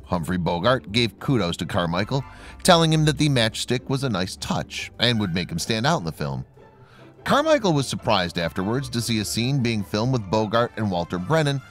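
A man with a fairly low voice delivers a scripted narration. His delivery is formal and measured, yet the intonation moves up and down in a lively way. Music plays behind him.

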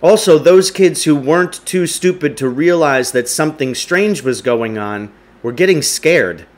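A man's voice: fairly high pitch, lively intonation, measured pace. A middle-aged man reads a story aloud in a home living room. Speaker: teacher reading aloud. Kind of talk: audiobook-style story reading. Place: indoors, home living room with wood stove.

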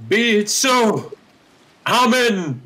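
A man's voice: high-pitched, big pitch swings, extremely slow, pushed and bright, full character voice, poor audio. A man playing a pious, kindly old courtier in a Shakespearean radio play, declaiming verse with fervor. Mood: joyful. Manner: fervent, declamatory verse delivery. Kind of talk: Shakespearean radio drama acting.

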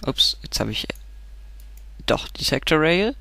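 Stuffy-nosed man, bright dry voice. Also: a little high, very animated, takes it slowly, creaky, no room sound. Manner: rambling, self-correcting, frequent filler sounds. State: stuffy nose from a cold. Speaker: game tutorial maker. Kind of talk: casual gameplay tutorial.

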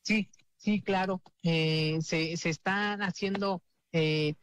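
A woman working as a sign language interpreter, voicing live in the first person with a halting delivery full of fillers and restarts. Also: fairly low pitch, steady tone, clean tone, no room sound.

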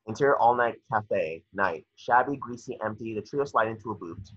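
Lower-pitched, husky man reading screenplay directions. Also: lively intonation, normal speed, a bit bright, no echo. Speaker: narrator. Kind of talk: scripted narration of screenplay stage directions. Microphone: video call. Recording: dull, muffled video call audio.

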